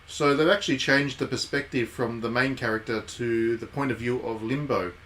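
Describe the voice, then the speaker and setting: A man's voice: mid-range voice, fairly even intonation, normal speed, a bit bright. A man giving casual, wry live commentary as he streams a visual novel. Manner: wry, jokey asides. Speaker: game streamer.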